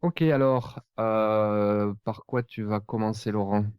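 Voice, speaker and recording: A man hosting a casual podcast, speaking in a hesitant, halting way. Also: fairly low pitch, fairly even intonation, clean tone, dry recording, highs cut off. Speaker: podcast host.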